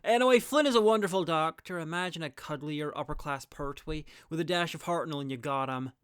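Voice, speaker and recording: A man's voice: fairly high pitch, a little fast, a bit bright, clean tone, no echo. A man narrating a scripted video review as host, with dry, wry asides. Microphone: close, dry voice-over mic.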